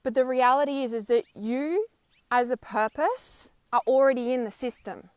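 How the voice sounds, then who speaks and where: A woman's voice: high-pitched, moderate pace, darkish tone, smooth, no room sound. A woman in her thirties talking casually outdoors in an emphatic, chatty way, often tagging her points with "right?" and "okay?". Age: 30s. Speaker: spiritual vlogger. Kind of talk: casual livestream monologue. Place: outdoors, wind audible.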